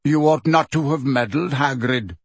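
A man voicing a proud male centaur leader who speaks in a measured, smooth, stern way. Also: mid-range voice, no echo. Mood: cold.

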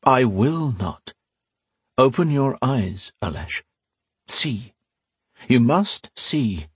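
A man voicing a sorrowful old priest who speaks low, slowly and solemnly. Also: very animated, breathy edge, no echo, exaggerated voice. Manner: low, sad, slow and solemn.

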